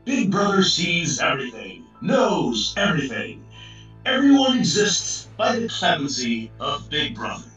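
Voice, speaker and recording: An actor playing a zealous propaganda voice for a totalitarian party in a radio drama, declaiming in chanted phrases. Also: male voice, a little high, big pitch swings, measured pace, fairly bright tone. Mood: fervent. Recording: very echoey, distant, music behind.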